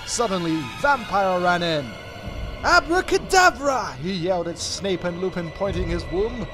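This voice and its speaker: A male narrator gives a dramatic, comedic reading of a story. His voice sits high for a man and is theatrical and mock-serious, with music clearly playing behind it. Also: moderate pace. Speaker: narrator of a comic fan fiction reading.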